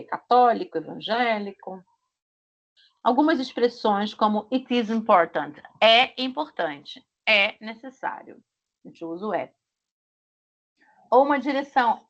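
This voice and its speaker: Carioca woman, online Portuguese teacher, stretches example words, dull call audio. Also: medium pitch. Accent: Rio de Janeiro Portuguese. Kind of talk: online language class. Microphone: video call. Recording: muffled video call audio.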